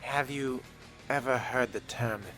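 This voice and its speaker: A man roleplaying a polite, brooding young fledgling vampire in a video game, measured, formal and musing. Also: medium pitch, fairly even intonation, sharp tone, soft background music. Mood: probing.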